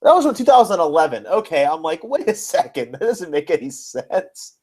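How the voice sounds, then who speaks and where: A man's voice: on the high side, very animated, moderate pace, darkish tone, slightly rough. A man co-hosting a casual wrestling podcast, talking in a blunt, exasperated way with plenty of swearing. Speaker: podcast co-host.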